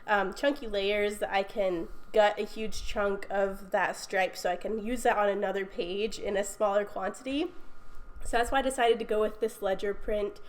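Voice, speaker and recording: Sniffly young woman crafting voiceover. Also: medium pitch. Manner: chatty, frequent ums and little laughs. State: congested from a cold. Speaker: scrapbooking video host. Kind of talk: casual voiceover narration. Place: recorded voiceover at home.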